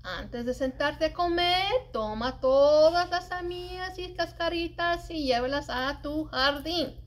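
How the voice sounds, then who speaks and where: A middle-aged woman reading a children's poem aloud in an expressive, singsong, deliberate way, as a story-time librarian. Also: sits high. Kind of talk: animated read-aloud of a children's cooking poem. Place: indoors, public library children's area.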